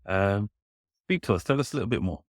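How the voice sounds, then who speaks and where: A man with a fairly low voice hosts a podcast, asking long, rambling questions full of fillers. The recording is dry and close. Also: expressive, a little fast, no room sound. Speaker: podcast host. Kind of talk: podcast interview.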